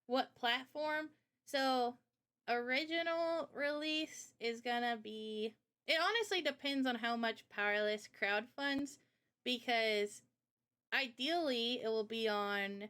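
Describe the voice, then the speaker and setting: A woman hosting a relaxed question-and-answer chat as a game developer, explaining things informally. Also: fairly high pitch, unhurried, smooth. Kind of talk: casual chat, Q&A.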